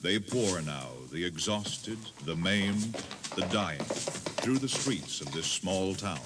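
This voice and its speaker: A man's voice: sits low, expressive, slow pace. A man giving solemn, theatrical scripted narration as a tour guide. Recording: noisy old cassette tape.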